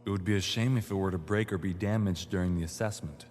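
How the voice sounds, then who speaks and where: A man playing a composed male evaluator in his twenties in an audio drama, speaking in formal, measured, polite sentences. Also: deep voice, darkish tone, close to an everyday voice. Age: 20s. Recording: long hall reverb, noisy hum.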